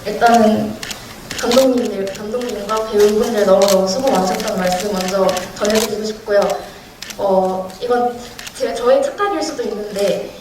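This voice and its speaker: A woman's voice: mid-range voice, normal speed. A woman in the audience asking a polite, hesitant question at a film screening. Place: large cinema hall. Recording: echoey hall audience recording, distant and noisy.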